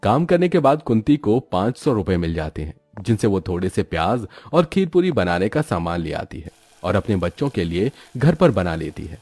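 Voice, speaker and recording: A man's voice: low-pitched, sing-song, normal speed, a little mellow, no echo. A man narrating a scripted moral story for a cartoon as its storyteller.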